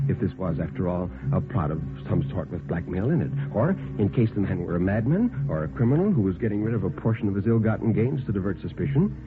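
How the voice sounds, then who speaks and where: A tinny, narrow old radio recording with music behind it. A man tells a horror story as narrator, delivering scripted prose in a dramatic and deliberate 1950s style. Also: sits low. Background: background music.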